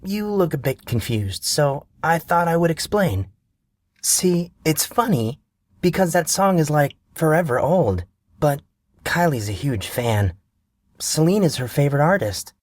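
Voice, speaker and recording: A man voicing a sharp-tongued, smug young woman student, talking in a dry, sarcastic, teasing way. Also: on the high side, very animated, a little slow, dry recording, pitched above the performer's own voice. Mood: explaining. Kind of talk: audiobook character voice.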